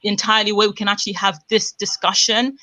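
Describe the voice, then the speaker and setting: Woman guest, bright clear voice, dry close sound, earnest and reflective. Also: mid-range voice, level tone, fast, dry recording. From born in Zambia, raised in the United Kingdom. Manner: earnest, reflective, builds points at length. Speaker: panel guest on a live stream. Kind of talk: casual discussion.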